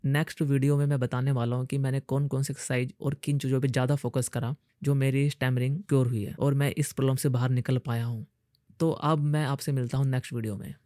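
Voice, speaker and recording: A man talks straight to viewers in a methodical, point-by-point way. His voice is dark and relaxed at a medium pitch, with English terms mixed into his speech. The sound is dry, with hardly any room on it. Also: fast, no echo. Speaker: host of a speech-coaching video series. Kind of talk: scripted explainer spoken straight to viewers.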